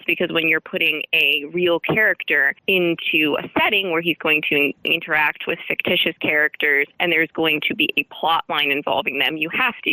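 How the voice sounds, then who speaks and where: A woman with a very bright, forward voice talks like an author being interviewed. She is earnest and articulate, stressing key words, and the sound is close and dry. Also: low-pitched, no room sound, low-quality recording. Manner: earnest, articulate, emphatic, frequent light chuckles. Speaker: guest author. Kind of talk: interview about writing historical fiction.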